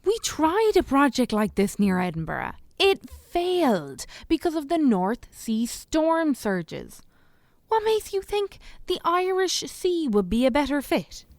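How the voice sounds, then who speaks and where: A woman's voice: high voice, very animated, slow pace, dry recording, exaggerated voice. A woman acting a sceptical, indignant parliament delegate in a radio play, formal and challenging.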